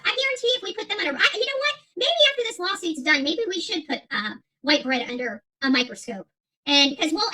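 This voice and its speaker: Middle aged lady streamer ranting off the cuff, high sharp voice, combative, repeats herself a lot. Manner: emphatic, repeats phrases, combative. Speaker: YouTube channel host. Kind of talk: unscripted livestream rant, reading and answering viewer chat.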